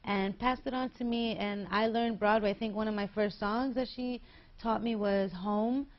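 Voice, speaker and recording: A woman's voice: mid-range voice, fairly even intonation, normal speed, muffled recording. A woman in her twenties, a singer being interviewed, chatting in a bubbly way and laughing often. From New York. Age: 20s. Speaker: guest singer. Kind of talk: casual interview.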